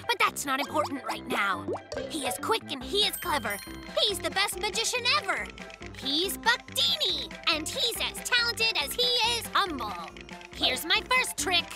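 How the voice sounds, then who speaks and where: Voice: very high voice, very animated, a little slow, over loud music, heavily acted. An actor voicing a skeptical, cocky little polar bear cub in a children's cartoon, blunt and given to grand showmanship. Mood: grandiose. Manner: blunt, doubting, grandly showy when performing.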